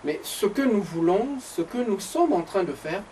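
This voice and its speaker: A man's voice: high voice, lively intonation, a little mellow, slight background noise. Reflective Congolese-born man, distant mic. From Democratic Republic of the Congo. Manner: measured, reflective, hesitant fillers. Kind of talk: casual conversation. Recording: distant mic with faint ambience.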